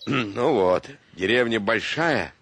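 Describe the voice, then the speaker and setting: A man's voice: on the low side, lively intonation, takes it slowly, fairly bright tone, slight background noise, full character voice. A man playing a sugary, affectionate stage actor, drawling his words in a theatrical story reading. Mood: cajoling, tender. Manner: unctuous, drawling endearments. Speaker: audiobook performer. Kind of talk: theatrical dramatized reading of a classic short story. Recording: music behind the voice.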